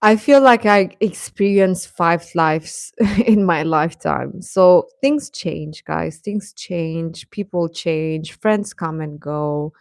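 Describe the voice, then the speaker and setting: A woman with a slightly low voice talks casually to her livestream audience. She is encouraging and reassuring as she offers advice, and the sound is dry, with very little room on it. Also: takes it slowly, vocal fry, no echo. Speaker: livestream host talking with young viewers.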